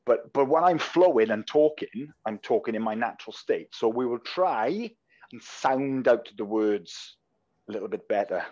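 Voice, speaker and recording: A man's voice: medium pitch, animated, moderate pace, a little mellow. A man with a Welsh accent co-hosting a casual podcast, clipping his words short and swearing freely. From Wales. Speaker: podcast co-host. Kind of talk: casual chat. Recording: slightly dull lo-fi sound.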